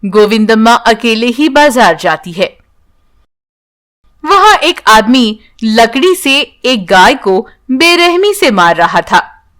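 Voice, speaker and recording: A woman's voice: a little high, sing-song, slow, a bit bright. A woman narrating a scripted bedtime story as a storyteller.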